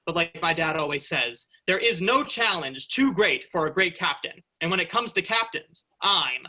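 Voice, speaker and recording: Hapless space dreamer for stage comedy, male reader: high, very sharp bright voice, pompous would-be hero. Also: expressive, moderate pace, full character voice, low-quality recording. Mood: heroic, pompous. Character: pompous, hapless daydreaming spaceship worker playing heroic captain. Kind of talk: staged comedy play reading.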